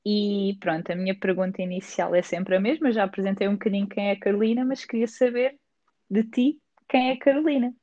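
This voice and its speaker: A woman's voice: medium pitch, a little fast, no echo, lo-fi sound. Chatty woman podcast host, Lisbon accent. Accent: Lisbon Portuguese. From Portugal. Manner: chatty, laughs often, animated quoting. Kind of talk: casual conversational interview. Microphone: remote recording.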